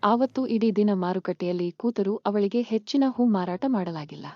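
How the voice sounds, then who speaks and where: A woman's voice: medium pitch, normal speed, dry recording. Slightly muffled woman narrating a children's story. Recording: muffled lo-fi sound. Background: faint music.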